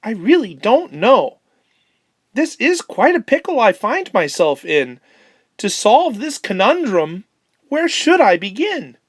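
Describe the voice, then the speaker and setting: A woman's voice: fairly high pitch, very animated, takes it slowly, dry recording, clean studio sound, heavily acted. A woman voicing a worried young penguin in a children's storybook, fretful and full of exclamation. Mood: fretful, pondering. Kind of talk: children's storybook read-aloud.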